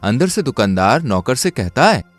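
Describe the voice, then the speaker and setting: A man's voice: medium pitch, very animated, normal speed, soft background music. A man narrating a scripted cartoon story.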